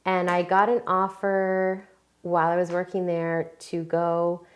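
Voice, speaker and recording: A woman's voice: lowish voice, monotone, measured pace. A woman in her forties from Maine, a new library employee telling her story in a casual interview, bubbly and laughing often. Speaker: library staff member, guest.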